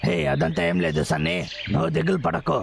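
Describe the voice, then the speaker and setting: A man's voice: fairly high pitch, big pitch swings, fast talker, gravelly, very noisy, exaggerated voice. A man voicing a caring, brave elder-brother cartoon bear in a reassuring, brotherly manner. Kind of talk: cartoon dubbing.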